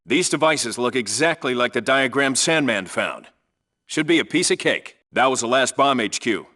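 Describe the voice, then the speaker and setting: A man's voice: medium pitch, animated, normal speed, bright, forceful tone. A man playing a no-nonsense special forces team leader in a video game, reporting in a clipped, businesslike, commanding way. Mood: focused, businesslike. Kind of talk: scripted radio call-outs, video game military acting.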